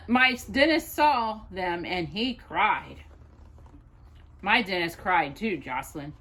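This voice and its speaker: Bright, very animated woman reading children's rhymes. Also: mid-range voice, takes it slowly. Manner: sing-song, expressive, addressing a child. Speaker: storytime host reading aloud. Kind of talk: children's picture book read-aloud of rhyming poems.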